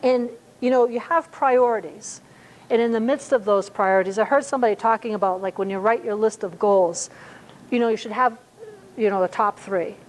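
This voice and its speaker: A woman's voice: medium pitch, normal speed, a little hiss or hum, rough recording. A woman in her fifties preaching a sermon in a conversational way, with many fillers and restarts. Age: 50s. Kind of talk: sermon at a church service.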